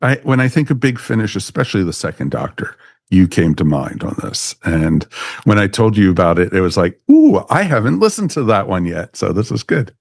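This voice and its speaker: Low-voiced man hosting a podcast. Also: very animated, a little fast, gravelly, a little mellow, dry recording, studio quality. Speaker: podcast host. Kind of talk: casual podcast chat.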